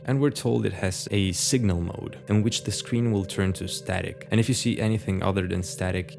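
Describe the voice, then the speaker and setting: A man's voice: low voice, vocal fry. A man giving scripted commentary as the narrator of a horror video essay.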